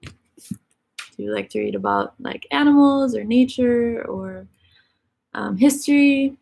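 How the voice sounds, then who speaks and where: A woman's voice: fairly high pitch, very animated, measured pace, gravelly, darkish tone. A woman whose first language is Egyptian Arabic, chatting casually on a live stream and reading out viewers' questions. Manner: chatty, filler-heavy, reads questions aloud.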